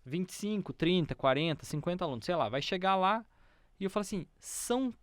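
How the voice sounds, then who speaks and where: Brazilian guy podcast host, kinda high voice, dry close sound, tells stories and repeats stuff for emphasis. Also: lively intonation, brisk, no echo. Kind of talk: conversational interview with anecdotes.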